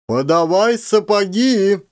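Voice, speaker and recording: A male reader acts a scolding village elder in an audio play. He is officious and accusing, with animated, swooping intonation in a dry recording. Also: sits high, slow pace, clear, resonant tone, no room sound, voice pushed up. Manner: loud, accusing. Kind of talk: one-man audio play.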